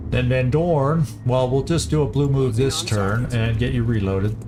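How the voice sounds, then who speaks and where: A man's voice: medium pitch, a little slow, background noise. Man musing through game moves. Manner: thinking aloud, hesitant restarts while planning moves. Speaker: gameplay commentator. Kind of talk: casual let's-play commentary. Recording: game music playing behind.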